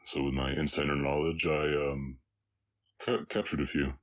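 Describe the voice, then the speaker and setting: A man playing a gentle, weary orc cowboy with a slight Southern accent, speaking haltingly and reflectively to a listener in an intimate roleplay audio drama. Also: a bass voice, level tone. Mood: reflective, subdued. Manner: halting, reflective, soft-hearted, pausing often.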